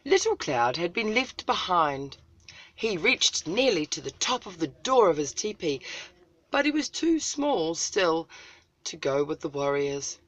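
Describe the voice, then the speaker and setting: A woman from New Zealand reading a children's story aloud as host, dramatic, with deliberate pauses and emphasis. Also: fairly low pitch, very animated, fairly bright tone.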